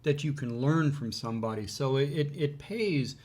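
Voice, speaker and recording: Man in casual, halting conversation. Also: mid-range voice, animated, darkish tone. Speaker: co-host of an educational video show.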